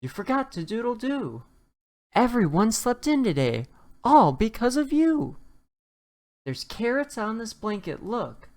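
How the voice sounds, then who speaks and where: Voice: medium pitch, big pitch swings, a little slow, darkish tone, voice pushed up. A storybook narrator voicing a fussy, alarmed farm hen with an emphatic, scolding manner.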